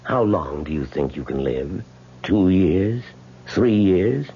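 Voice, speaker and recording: An actor playing a frail, rich old man in a radio drama, slow, deliberate and insistent in his persuasion. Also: low-pitched, exaggerated voice. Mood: insistent, persuasive. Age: older. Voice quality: husky, gravelly. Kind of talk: 1970s radio drama acting. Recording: dull old radio recording.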